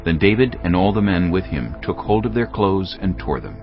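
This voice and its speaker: A man narrating a dramatized Bible in a measured, storytelling manner. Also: low-pitched, sing-song, highs cut off, soft background music.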